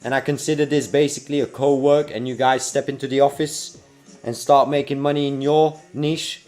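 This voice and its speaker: A man's voice: medium pitch, little pitch movement, normal speed, a little mellow, soft background music. A man from Belgium with a mixed UK, New Zealand and American accent, hosting a casual livestream and chatting upbeat to viewers while working, tired after a night awake. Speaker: livestream host. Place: home desk setup.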